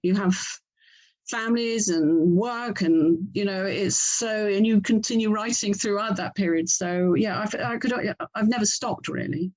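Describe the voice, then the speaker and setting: A middle-aged woman with an English accent speaks as an author being interviewed. Her pitch sits in the middle range. She is conversational and reflective, rewording herself as her sentences meander. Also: measured pace, a little mellow, rough recording. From England. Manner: reflective, meandering, self-correcting.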